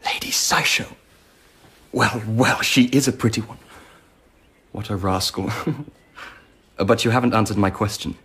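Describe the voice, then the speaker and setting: An actor playing a genial, indulgent but shrewd Emperor in a radio drama, urbane and teasing in manner. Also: male voice, fairly low pitch, measured pace, long pauses, fairly bright tone, husky, heavily acted. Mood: amused, indulgent.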